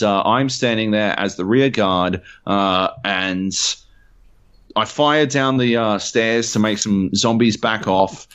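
A man with an Australian accent, co-hosting a podcast and telling a long, swear-filled story with lots of "uh" and "like". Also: on the low side. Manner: animated, profane storytelling with many fillers. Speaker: podcast co-host. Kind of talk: casual podcast chat.

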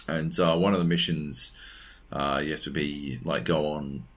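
Aussie guy with a really low husky voice, rambly sweary gaming podcast chat. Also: fairly even intonation, measured pace, fairly bright tone. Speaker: podcast co-host reviewing video games. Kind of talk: casual unscripted chat.

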